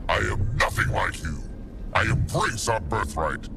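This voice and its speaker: A man's voice: on the low side, animated, unhurried, background noise. An actor playing a grandiose, menacing warlord king in a fantasy video game, with a pompous, declamatory delivery. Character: menacing, grandiose male warlord calling himself Dragon King. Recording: loud music over the voice. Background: loud music.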